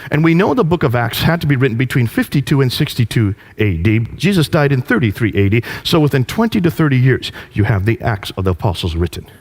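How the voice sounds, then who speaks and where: A man's voice: medium pitch, very animated, quick pace, hardly any pauses. Emphatic preaching man in a reverberant hall. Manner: rhetorical questions, emphatic. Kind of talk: preaching lecture.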